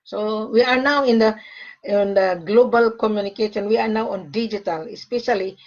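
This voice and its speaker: A woman's voice: mid-range voice, moderate pace, lo-fi sound. Older woman from the Philippines on a call. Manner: emphatic, repeats key phrases. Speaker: gold sales facilitator. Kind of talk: casual call conversation.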